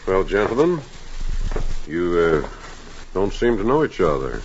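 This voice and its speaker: An actor playing a courteous, hesitant doctor in a 1950s radio drama. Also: male voice, low voice, sing-song, unhurried, exaggerated voice. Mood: hesitant, polite. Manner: polite, hesitant. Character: hesitant, courteous doctor running a laboratory, grown man. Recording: muffled, hissy 1950s radio recording.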